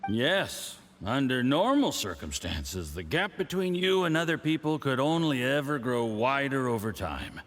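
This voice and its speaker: An actor playing a middle-aged, condescending scheming diplomat in a game, with a patronizing drawl. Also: male voice, medium pitch, a bit bright, exaggerated voice. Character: smug scheming foreign diplomat man, condescending. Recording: game audio with long reverb.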